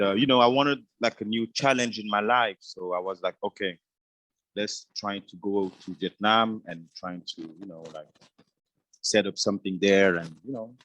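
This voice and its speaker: A man from Switzerland, a native French speaker with a French accent, answering interview questions casually and haltingly with constant verbal tags. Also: lowish voice, poor audio. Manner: halting, repeats "you know" constantly. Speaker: interview guest, businessman.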